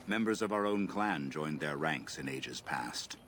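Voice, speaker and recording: Deep-voiced, solemn elven elder. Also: male voice, expressive, a bit bright, slight background noise, full character voice. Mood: grave. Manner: measured, formal storytelling. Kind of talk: scripted fantasy game acting. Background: game music.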